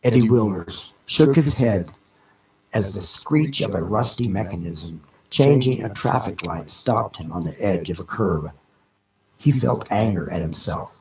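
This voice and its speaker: A man reading a novel aloud as a narrator, in a measured, dramatic audiobook style with deliberate pauses between phrases. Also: fairly low pitch, animated, warm tone, slight background noise, low-quality recording.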